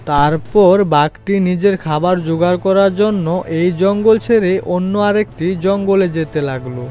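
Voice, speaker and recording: A man tells a scripted children's story as narrator. His voice is notably high for a male and his pace is very slow. Music plays behind him.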